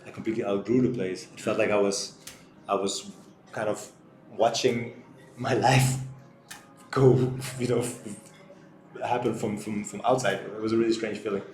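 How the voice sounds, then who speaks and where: A man's voice: fairly low pitch, fairly even intonation, normal speed, pauses often, a little mellow. A man in his thirties who grew up in Singapore, co-hosting a casual discussion and telling personal stories reflectively. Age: 30s. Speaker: show co-host. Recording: roomy echo, light background noise.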